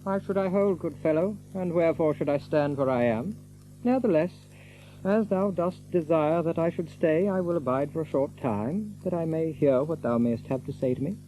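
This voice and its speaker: An actor playing an unruffled, polite gentleman in an archaic audio drama, speaking with gentle mockery. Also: fairly high pitch, moderate pace, a little hiss or hum, lightly acted, rough recording. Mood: amused politeness. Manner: polite, leisurely, gently mocking. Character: mild, courteous, unruffled gentleman stranger with hidden strength.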